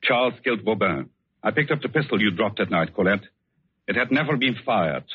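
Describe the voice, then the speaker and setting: Low-voiced, husky, grave radio-drama lover. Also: male voice, lively intonation. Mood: grave, revealing. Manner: dramatic, deliberate delivery. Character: grave, devoted man confessing the truth to his lover. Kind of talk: 1940s radio suspense acting. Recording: muffled, narrow old 1940s radio recording.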